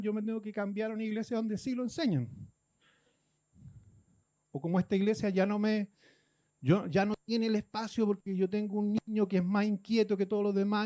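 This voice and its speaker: A man's voice: sits high, mellow. A man from Guatemala, preaching a conversational sermon and acting out churchgoers' complaints.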